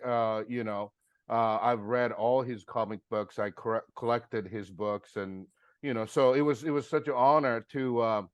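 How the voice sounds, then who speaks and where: A man's voice: mid-range voice, no echo, highs cut off. A middle-aged man from Japan, speaking earnestly as a filmmaker guest, full of fillers and restarts. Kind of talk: interview conversation.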